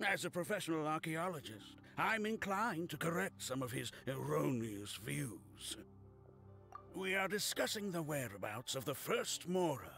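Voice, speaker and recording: Boastful male scholar for a game: high-pitched, creaky, animated, pompous. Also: a little slow, faint music behind, full character voice. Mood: indignant. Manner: pompous, declamatory. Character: excitable, boastful male archaeologist, self-important and indignant. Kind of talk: English game voice acting.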